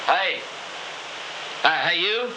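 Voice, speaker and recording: A man's voice: sits high, lively intonation, slow pace. An actor playing a mature, hearty, teasing Texas businessman in a 1960s radio drama. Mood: curious, uneasy. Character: mature, breezy Texas businessman, impatient with high society. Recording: noisy 1960s radio recording.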